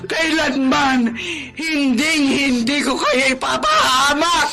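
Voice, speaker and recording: A woman's voice: high-pitched, expressive, slow, music in the background, full character voice. An actress with a Filipino accent playing a protective, tearful, pleading mother in a radio drama. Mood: desperate, wailing. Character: anguished, protective Filipina mother of sick sons. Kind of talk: Tagalog radio drama acting.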